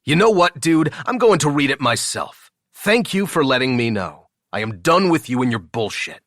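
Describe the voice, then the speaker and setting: Man playing an irritable boss, animated and dry-sounding. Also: medium pitch, moderate pace, no echo, voice pushed up. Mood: fed up. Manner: terse, exasperated, blunt. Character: irritable, commanding male billionaire boss. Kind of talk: audiobook character dialogue.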